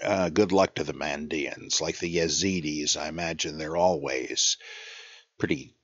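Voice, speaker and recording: An older man hosting a podcast, reading listener questions and answering them in a musing, halting way, full of "uh"s and self-corrections. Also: extremely low pitch, fairly bright tone, breathy edge, no echo. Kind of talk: casual spoken Q&A on biblical scholarship. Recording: dull, lo-fi dry recording.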